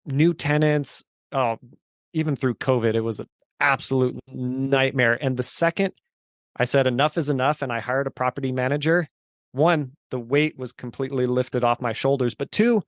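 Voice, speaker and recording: A man just turned forty, speaking at a middle pitch in an earnest, emphatic storytelling manner. His voice is recorded close and dry, with no room sound. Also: moderate pace, no room sound. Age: 40s. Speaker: guest. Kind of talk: casual interview chat.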